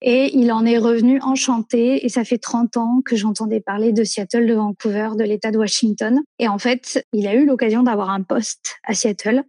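A French woman in her thirties, telling about family travels in a relaxed, rambling way with many fillers and self-corrections. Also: on the high side, normal speed, few pauses, no echo. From France. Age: 30s. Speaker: guest. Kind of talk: casual conversational interview.

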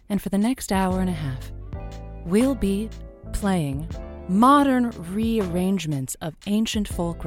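An actress playing an effusive, coaxing female radio host who gives chatty, encouraging romance advice in an audio drama. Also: lowish voice. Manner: effusive, coaxing, pet names for listeners. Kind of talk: semi-improvised audio drama, late-night call-in radio host.

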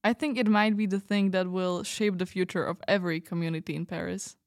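A woman's voice: lowish voice, steady tone, a little fast, dry recording, close to an everyday voice. Woman as an earnest young trainee, dry sound. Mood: corrective, earnest. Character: Eden, earnest young female medical trainee. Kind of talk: fiction podcast panel interview acting.

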